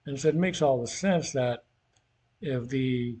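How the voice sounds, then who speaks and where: A man's voice: medium pitch, unhurried, darkish tone, a little hiss or hum. A man teaching in an informal, conversational way, talking through a worked physics problem as if thinking aloud.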